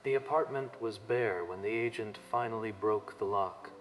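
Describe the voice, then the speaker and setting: A man's voice: on the low side, normal speed, soft background music, low-quality recording. A man narrating a dramatic manga story from a script.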